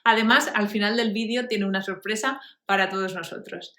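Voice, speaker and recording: A woman's voice: mid-range voice, moderate pace, bright, forceful tone. A woman hosting a language channel, interviewing a guest with friendly, encouraging questions. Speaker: host and language teacher. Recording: echoey room.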